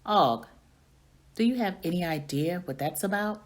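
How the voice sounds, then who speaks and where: A woman playing a small, curious classroom hamster from a children's storybook, earnest, chatty and expressive. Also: lowish voice, normal speed, voice pushed up.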